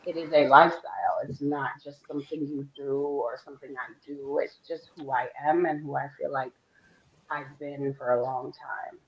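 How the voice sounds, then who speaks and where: A woman's voice: very deep voice, fairly even intonation, moderate pace, poor audio. Candid woman in her forties. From Philadelphia. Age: 40s. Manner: open, candid, chatty with soft laughs. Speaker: guest. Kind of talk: casual panel chat.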